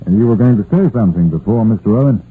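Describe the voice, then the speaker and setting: An actor playing a steady, grim police inspector in an old radio crime drama, with measured, sombre delivery. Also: male voice, on the low side, breathy edge, rough recording. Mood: careful, questioning. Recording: vintage 1950s radio broadcast, music behind.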